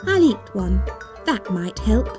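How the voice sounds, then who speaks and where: A woman's voice: medium pitch, very animated, slow, over music. A woman voicing a curious, polite young girl in a children's storybook, light and earnest.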